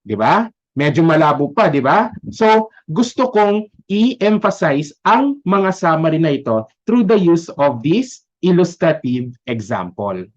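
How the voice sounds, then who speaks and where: Filipino guy teaching a class, voice goes up and down a lot, kinda dull lo-fi audio. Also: high-pitched. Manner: frequent tag questions and check-ins. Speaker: accounting lecturer. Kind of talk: conversational taped lecture mixing explanation and check-in questions. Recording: dull, lo-fi voice recording.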